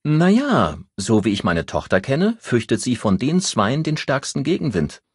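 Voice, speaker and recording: A man voicing a brisk, frank older grandmother with a teasing, matter-of-fact manner in an audiobook. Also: mid-range voice, very animated, slightly rough, dry recording, clean studio sound, voice pushed up. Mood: calm, teasing.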